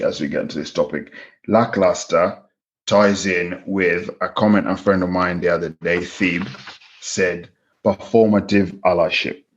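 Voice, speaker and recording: A man in his thirties with a Kenyan accent talks earnestly and reflectively, often restarting mid-sentence. His voice sits a little low. The recording is slightly dull and lo-fi. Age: 30s. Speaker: farm manager guest. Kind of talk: candid conversational interview.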